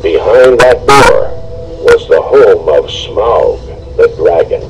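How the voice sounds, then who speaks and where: A man reading a storybook aloud with expressive, dramatic narration, on a noisy home recording. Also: low voice, takes it slowly. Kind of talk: scripted storybook read-along narration.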